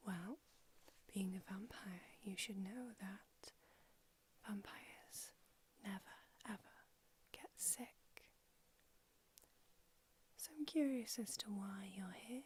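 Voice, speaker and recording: A woman's voice: medium pitch, slow pace, long pauses, a little mellow, a little hiss or hum, lightly acted. A woman whispering in an ASMR roleplay, voicing a brusque, haughty old female vampire doctor with a dry manner. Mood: whispery, brusque.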